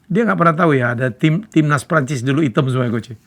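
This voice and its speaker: Male sports host, fairly high and lively voice with gravel, agreeable, lots of murmured "hmm"s. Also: fast. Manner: agreeable, frequent murmured backchannels. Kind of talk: casual sports talk show chat.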